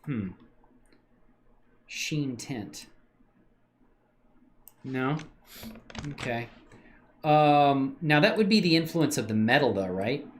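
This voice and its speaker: Man thinking aloud with lively pitch. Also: slow, pauses often, a little hiss or hum. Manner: muttering and thinking aloud while working, answering chat; relaxed slangy talk with many fillers. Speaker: livestreamer doing 3D modeling. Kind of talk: casual think-aloud livestream.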